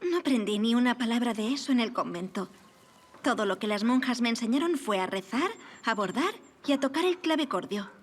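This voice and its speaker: A woman's voice: fairly high pitch, expressive, brisk. A woman acting in an audio drama as a gracious, witty young aristocratic lady, poised and formally polite. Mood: wry.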